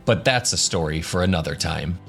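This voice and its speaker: A man's voice: deep voice, expressive, a little fast, vocal fry, fairly bright tone, soft background music. A man narrating a scripted fantasy radio drama. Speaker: narrator, game master.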